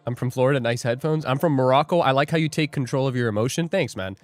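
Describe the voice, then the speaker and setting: A man's voice: medium pitch, fast talker, a little mellow, no room sound, faint music behind. A young man hosting a live trading stream, joking and rambling as he reads viewer comments aloud. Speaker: livestream day trader host. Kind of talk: casual livestream chat.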